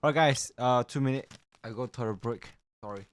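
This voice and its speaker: A man's voice: mid-range voice, a little fast, a little hiss or hum. A man livestreaming, chatting casually and informally as he reacts to a game.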